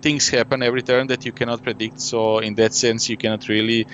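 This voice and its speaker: A man's voice: medium pitch, little pitch movement, a little fast, a bit bright. A man who designs board games, a French speaker from his youth on, explaining his game at length in a casual interview, full of fillers and "you know". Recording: dull lo-fi audio with faint noise.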